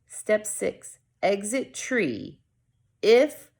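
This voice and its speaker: A woman reading a storybook aloud to children, expressively, with deliberate dramatic pauses and short asides to her viewers. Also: mid-range voice, big pitch swings, a little mellow.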